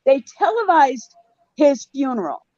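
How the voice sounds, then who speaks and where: A woman speaks slowly and with feeling as a guest, indignant at the neglect she describes. Her voice sits a little high. The audio is dry and somewhat muffled. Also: very animated, darkish tone, no echo. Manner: heartfelt, indignant. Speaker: guest, Gold Star mother. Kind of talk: casual panel talk.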